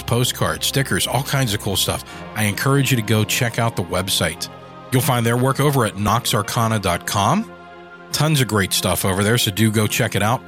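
A man's voice: on the low side, a bit bright. A man hosting a podcast, reading scripted outro announcements and plugs in an informal, upbeat manner. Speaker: podcast host and producer. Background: music.

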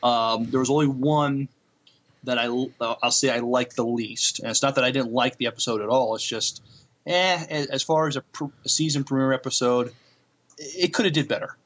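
A man co-hosting a podcast, giving casual television critique in a hesitant, measured manner. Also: medium pitch, fairly even intonation, fairly bright tone. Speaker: podcast co-host. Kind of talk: casual TV review chat.

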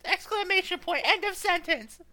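A woman's voice: extremely high pitch, normal speed, pushed and bright. A woman in a casual group chat who reads aloud cheekily, swears and laughs mid-sentence. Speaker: co-host reading aloud.

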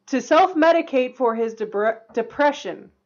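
A woman's voice: high-pitched, lively intonation, unhurried, muffled recording. A woman co-hosting a casual podcast, retelling an odd news story with a giggly manner. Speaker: podcast co-host. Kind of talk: casual chat, reading a news story aloud.

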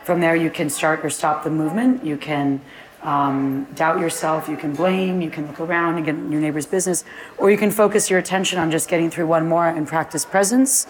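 A middle-aged woman with a deep, husky voice, speaking as a guest in a live onstage conversation. She talks in long, flowing runs and laughs often. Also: steady tone, a little fast, rough recording. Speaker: guest, fitness class founder. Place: event space before a live audience.

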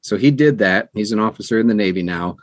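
A man's voice: lowish voice, fast, no echo. Middle-aged american guy telling stories, dry close sound. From United States, New Jersey. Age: middle-aged or older. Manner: animated, acts out quoted dialogue. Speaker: interview guest, audiobook narrator. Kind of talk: conversational storytelling.

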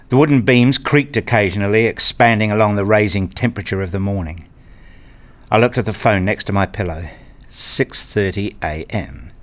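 A man with a fairly low voice narrates a radio drama in the first person. His delivery is measured and understated, with a literary feel. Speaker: narrator and lead character, a father.

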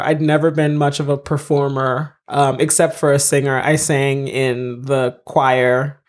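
A woman in her thirties from Haiti, a TV comedy writer and stand-up comedian, telling her story in a casual podcast interview and laughing often. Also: low-pitched.

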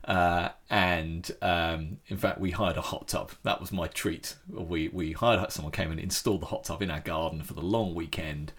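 A man's voice: low voice, fairly even intonation, normal speed, few pauses, fairly bright tone, husky. A man telling a story in casual chat, with frequent restarts.

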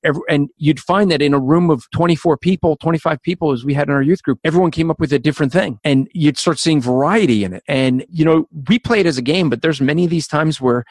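A man's voice: on the high side, fast talker, few pauses, a little mellow, dry recording, studio quality. A middle-aged man with a New Zealand accent hosting a podcast, upbeat and chatty, laughing easily. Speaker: podcast host. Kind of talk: casual podcast interview.

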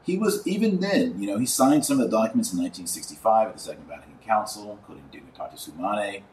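In a roomy, echoing space, a man with a low voice and an American accent speaks a little away from the mic. He delivers informal podcast commentary, stacking emphatic lists and repeating words for effect. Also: expressive, quick pace, a little mellow. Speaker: podcast host; online course teacher. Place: indoors at a desk. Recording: roomy echo, distant mic, faint noise.

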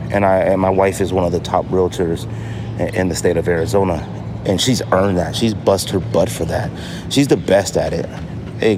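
A man's voice: deep voice, noisy background. A middle-aged American man talking casually and earnestly as a solo podcast host, repeating phrases for emphasis. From Inglewood, California, United States.

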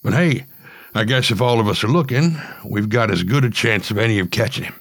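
A man voicing a friendly, rough-spoken killer who chats in a slangy, laid-back way. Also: lowish voice, a little fast, no echo. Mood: easygoing. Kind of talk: storytelling.

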